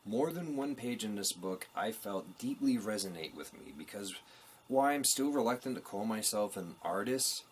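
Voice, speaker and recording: Low-voiced man hosting a review show. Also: animated, normal speed, slight background noise. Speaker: host of a book review show. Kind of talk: scripted review narration to viewers.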